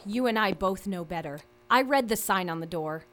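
A woman's voice: medium pitch, animated, moderate pace, heavy background noise, close to an everyday voice. A woman playing a wary, defiant older mother. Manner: guarded, firm, protective. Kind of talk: audio drama acting.

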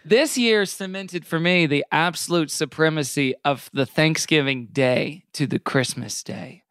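A man's voice: a little high, unhurried, a bit bright, clean tone, no echo, studio quality. A man hosting a podcast in casual comedic chat, with a jokey, mock-earnest way of talking.

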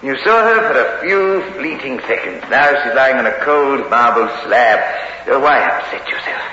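An actor playing an excitable, blunt, emphatic investigator in a 1960s radio horror drama. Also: male voice, mid-range voice, normal speed. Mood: blunt, doubting. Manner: emphatic, animated. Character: excitable, blunt male investigator of an old mystery. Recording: echoey, dull vintage radio recording, faint hiss.

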